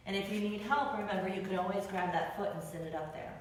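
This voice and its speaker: A woman with a raspy voice, tired after many classes, guiding a beginner yoga class with upbeat, encouraging cues. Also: mid-range voice, fast, a little hiss or hum. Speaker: yoga instructor. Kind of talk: guided yoga class instruction. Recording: echoey room with long reverb, voice from far off the mic.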